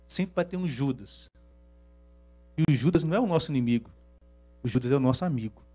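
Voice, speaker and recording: A man from Rio with a Minas Gerais accent, preaching a sermon, swinging from hushed asides to loud, drawn-out emphasis. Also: mid-range voice. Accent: Minas Gerais Portuguese. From Rio de Janeiro, Brazil. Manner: shifts from hushed asides to loud, slow emphatic scripture reading. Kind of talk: sermon to a congregation.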